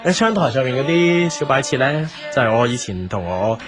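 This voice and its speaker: An actor with a Hong Kong Cantonese accent playing a friendly, chatty young café owner in a radio drama, easily flustered. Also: male voice, mid-range voice, sing-song, fairly quick, natural voice. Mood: eager, chatty. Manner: chatty, eager, stammers when flustered. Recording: music behind the voice.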